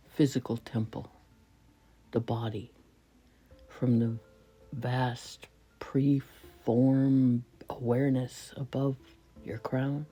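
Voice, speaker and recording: A woman leading a guided meditation in a soft, breathy voice that often falls toward a whisper, speaking reverently in short, measured phrases. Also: a bass voice. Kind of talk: slow spoken guided meditation.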